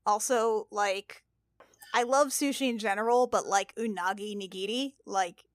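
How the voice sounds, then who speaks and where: A woman's voice: medium pitch, unhurried, dry recording, studio quality. A woman chatting casually as a podcast player, emphatic and freely sweary. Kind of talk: casual chat.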